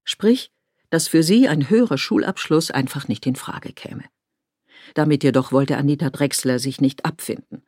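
A woman from Tyrol, narrating a documentary feature in a measured, reflective way. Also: sits low, darkish tone, no echo, studio quality. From Tyrol, Austria.

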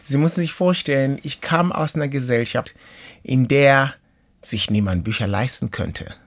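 Earnest gravelly-voiced middle-aged man. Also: mid-range voice. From Kenya. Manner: earnest, measured, reflective. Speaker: interview guest, author and teacher. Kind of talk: personal life story in an interview.

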